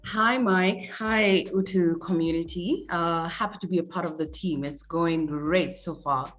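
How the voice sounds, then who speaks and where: A woman in her thirties from Kenya, chatting relaxed and easily as a guest on a video call interview. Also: fairly low pitch, measured pace, faint music behind.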